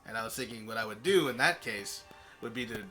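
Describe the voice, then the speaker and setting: A man's voice: on the low side, fast talker, bright, forceful tone, faint music behind, low-quality recording. A man hosting a stream and chatting with his team on a voice call, rambling and correcting himself as he goes. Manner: rambling, with fillers and self-corrections. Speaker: stream host. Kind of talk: casual group chat on a voice call. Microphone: voice chat microphone.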